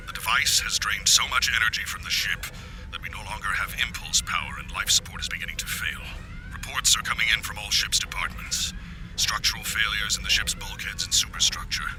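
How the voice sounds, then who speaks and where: A man's voice: fairly low pitch, a little fast, close to an everyday voice, poor audio. A man playing a terse, grave alien starship captain dictating a formal log in a sci-fi tabletop game. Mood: grave, worried. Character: terse Andorian starship captain, increasingly worried, reading log. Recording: music playing under the voice.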